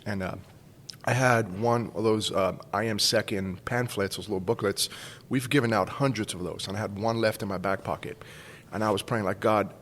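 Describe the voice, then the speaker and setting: A man's voice: on the low side, a bit bright, long reverb tail. A man in his forties from Cuba, casually telling a personal story as a podcast guest. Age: 40s. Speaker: school staff member, podcast guest.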